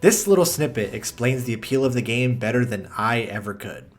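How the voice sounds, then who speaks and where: A man's voice: medium pitch, animated, brisk, faint music behind. A man narrating a scripted video essay in a blunt, profane, opinionated way.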